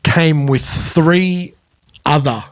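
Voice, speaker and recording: A man's voice: fairly high pitch, very slow, dry recording. Man chatting on a dry-sounding podcast. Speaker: podcast co-host. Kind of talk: casual music podcast chat.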